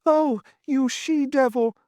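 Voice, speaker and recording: A man's voice: very high-pitched, fairly even intonation, slow, a little mellow, dry recording, studio quality, voice pushed up. A man voicing an old, suspicious nobleman collector who nags and snarls in a cold, mocking way. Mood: alarmed. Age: older. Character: old nagging suspicious male lord collector. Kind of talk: audiobook reading.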